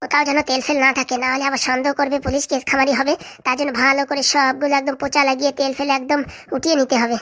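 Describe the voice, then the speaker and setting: A woman's voice: high-pitched, little pitch movement, rapid-fire, fairly bright tone. A woman voicing a vengeful, scheming jilted wife in a comedy cartoon, ranting and gloating to herself. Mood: scheming, hurried.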